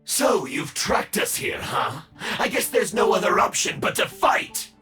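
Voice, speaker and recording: An actor playing a menacing, defiant villain in a video game, shouting in a theatrical manner. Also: male voice, sits high, sing-song, a little slow, music in the background. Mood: threatening. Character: menacing, defiant villain calling on minions to fight. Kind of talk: dramatic video game voice acting.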